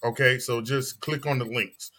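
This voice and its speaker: Podcast host, man, early forties, bright tone, animated and emphatic. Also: medium pitch, normal speed. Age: 40s. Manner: animated, emphatic, repeats phrases. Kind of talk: casual chat.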